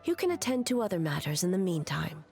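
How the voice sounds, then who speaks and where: An actress playing a regal immortal ruler in a video game, with formal, measured, aloof delivery over music. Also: female voice, lowish voice, big pitch swings, creaky. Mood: wry, conceding. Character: composed, regal immortal female ruler in an artificial body. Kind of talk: scripted video game voice acting. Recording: music behind the voice.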